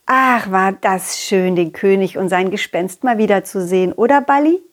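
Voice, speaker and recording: A woman's voice: medium pitch, moderate pace, pitched below the performer's own voice, lightly acted. A woman voicing a confident, loyal, cheerful dog who talks affectionately and encouragingly to children in an audio story. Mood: tender. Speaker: puppeteer hosting a children's audio story podcast.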